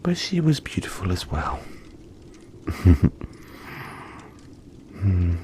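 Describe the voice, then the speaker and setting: Man playing a gentle, slow-talking yeti boy. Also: low-pitched, very animated, a little hiss or hum, full character voice. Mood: fond, relaxed. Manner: soft-spoken, reassuring, halting, often breathy near-whisper. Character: gentle, friendly, shy cuddly young male yeti. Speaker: audio roleplay performer. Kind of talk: intimate audio roleplay addressed to the listener.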